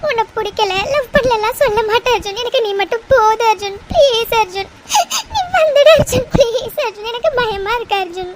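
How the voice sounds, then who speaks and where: A woman's voice: very high-pitched, fairly quick. A woman playing a distraught, devoted young woman in an audio drama, crying and pleading over her injured beloved. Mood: desperate, tearful devotion. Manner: tearful, pleading, repetitive begging. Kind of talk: melodramatic Tamil audio drama acting. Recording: noisy recording with clicks.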